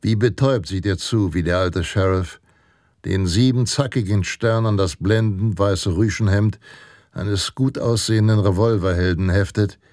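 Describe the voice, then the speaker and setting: An actor playing a brooding, scornful Western narrator in a radio drama, grave and terse, telling a gunslinger's tale. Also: male voice, lowish voice, moderate pace, no room sound, studio quality, natural voice. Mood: grave, stricken. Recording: voice over loud guitar music.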